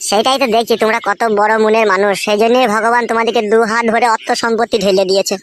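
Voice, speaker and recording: A man's voice: very high-pitched, steady tone, fast talker, noisy background. A man voicing a downtrodden, naive young village husband in a comic cartoon, plaintive and deferential. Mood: humble, comic. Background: music.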